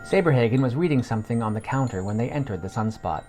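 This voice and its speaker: A man narrating a scripted fantasy story as a podcast storyteller, in a measured reading manner. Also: mid-range voice, lively intonation, noisy background.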